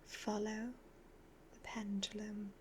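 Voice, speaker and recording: A breathy, half-whispered evil witch acted out by a woman in an ASMR roleplay. She is a sly, hypnotic fortune teller who gives instructions very slowly in an even, level tone. Some steady noise sits behind the voice. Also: medium pitch, natural voice. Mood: soothing, commanding. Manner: slow, deliberate, instructing in measured phrases. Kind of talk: soft-spoken ASMR roleplay.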